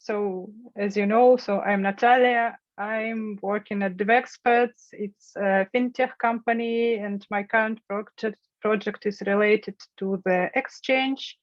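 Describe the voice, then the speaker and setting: A woman's voice: medium pitch, fairly even intonation, unhurried, few pauses, no room sound. Encouraging woman hosting a video call. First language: Russian. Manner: friendly, encouraging, prompting participants. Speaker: workshop host. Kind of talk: online workshop moderating. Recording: dull lo-fi call audio.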